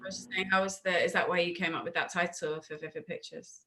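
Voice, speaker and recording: A woman's voice: lowish voice, steady tone, quick pace, bright, forceful tone, slight background noise. A woman hosting a casual music interview over a video call, talking informally and thinking aloud as she asks her questions. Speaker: interviewer, music show host.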